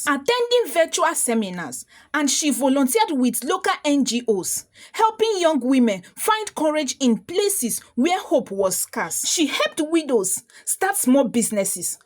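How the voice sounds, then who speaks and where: Female storyteller, higher register, highly animated intonation, solemn and measured scripted narration.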